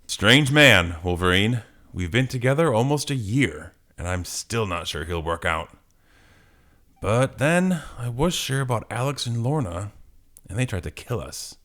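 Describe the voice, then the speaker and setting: A man's voice: medium pitch, expressive, measured pace, fairly bright tone. A man playing an earnest, brooding young comic-book superhero with dramatic delivery.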